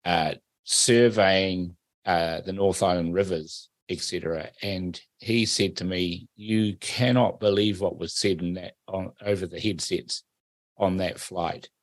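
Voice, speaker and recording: A man's voice: sits low, fairly even intonation, a little slow, dry recording. A man born in Fiji hosting a political talk radio interview, making pointed, emphatic remarks and asking leading questions. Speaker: host.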